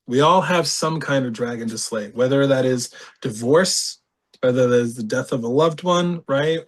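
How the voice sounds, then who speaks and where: Animated man telling a candid story. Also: medium pitch. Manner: animated, laughs often, reenacts voices. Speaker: podcast guest.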